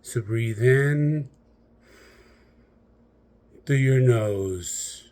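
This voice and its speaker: A man guides a meditation in a mellow, relaxed voice. He takes his time, setting out short, measured phrases with long pauses between them. The pitch sits in a middle male register, and he addresses the listener directly.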